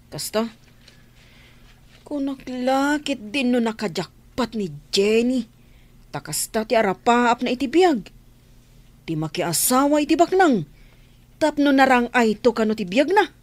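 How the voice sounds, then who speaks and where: A woman's voice: a little high, animated, measured pace, pauses often, no echo. An actress with an Ilocano Filipino accent playing an inquisitive, easygoing young woman in a radio drama. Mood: prying.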